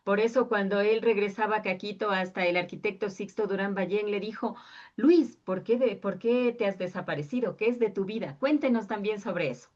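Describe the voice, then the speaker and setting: A woman's voice: medium pitch, normal speed, darkish tone, dull audio. A woman interviewing a guest, recapping details before asking him to tell more. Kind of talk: interview questions.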